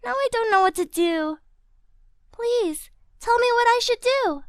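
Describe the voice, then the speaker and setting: A woman's voice: very high-pitched, lively intonation, a little slow, no echo, studio quality, voice pushed up. A woman voicing a worried little cartoon schoolgirl, earnest and childlike, explaining things carefully. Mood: troubled, pleading. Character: worried little schoolgirl confiding in her grandmother.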